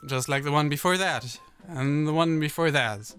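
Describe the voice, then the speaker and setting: A man's voice: a little high, moderate pace, a bit bright, faint music behind. A man reading a scripted countdown review in a wordy, critical and opinionated way. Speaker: video essayist reviewing cartoons.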